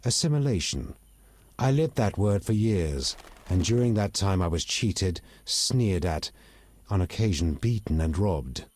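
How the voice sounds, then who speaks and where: Bitter, proud elf rebel, low husky voice. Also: male voice, animated, darkish tone. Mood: bitter, reflective. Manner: articulate, measured, disdainful. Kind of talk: video game dialogue acting.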